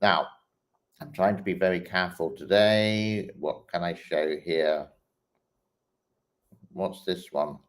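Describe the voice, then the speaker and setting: Casting: deep-voiced male host, unhurried, halting reaction commentary. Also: steady tone. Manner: slow, hesitant, many ums and restarts. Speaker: host reacting to online clips. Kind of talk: casual commentary while scrolling a feed.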